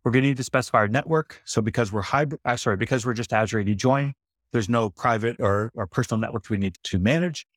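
Man, software demo voice-over, conversational, self-correcting, dry room. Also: medium pitch, quick pace, no echo. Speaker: IT presenter. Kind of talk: screen-recorded software demo walkthrough.

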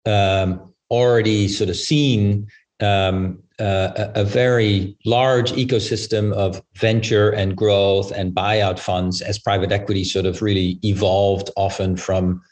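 A man with a fairly low voice answers as a finance guest in a panel interview. He explains at length in a measured way, often pausing to find the next phrase. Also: steady tone, darkish tone. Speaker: growth equity investor, panel guest.

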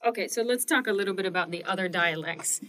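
A woman's voice: mid-range voice, fast, a bit bright. A woman hosting a casual interview, asking curious follow-up questions. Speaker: host. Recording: distant mic in a room.

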